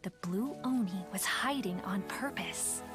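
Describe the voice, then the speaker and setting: Woman as a gentle storybook narrator over music. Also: mid-range voice, lightly acted. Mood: wistful. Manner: soft, unhurried storytelling. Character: gentle storybook narrator of an old folk tale. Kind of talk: scripted fairy-tale narration. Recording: music clearly behind.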